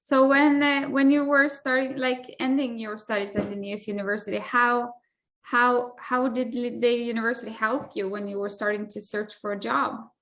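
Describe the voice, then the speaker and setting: A woman's voice: a little high, level tone, moderate pace, fairly bright tone. A woman interviewing a guest on a webinar, asking questions with frequent fillers. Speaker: interviewer from university staff.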